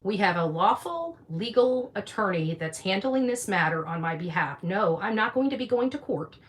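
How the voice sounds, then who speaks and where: A woman's voice: medium pitch, very animated, moderate pace. A woman in her fifties talking combatively and dismissively in a livestream rant, swearing with heavy emphasis. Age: 50s.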